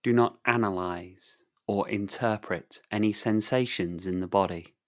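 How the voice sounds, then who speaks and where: Male meditation guide, calm and soothing, low register, very slow with long pauses.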